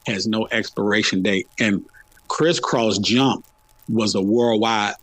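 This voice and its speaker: A man's voice: fairly low pitch, steady tone, slow pace, a bit bright, no echo, poor audio. Middle-aged man, dry close sound. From Atlanta, United States. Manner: conversational, repeats phrases for emphasis. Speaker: podcast guest, hip hop podcaster. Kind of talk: casual interview chat.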